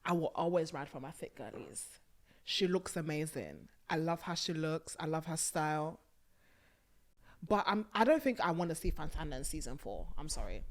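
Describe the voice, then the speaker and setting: A young woman hosting a podcast from a small studio set, chatting emphatically about a reality show into a boom mic, with bursts of laughter. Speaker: podcast host. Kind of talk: casual chat reviewing a reality show. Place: small purple-lit studio set on a sofa. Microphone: studio mic on a boom arm.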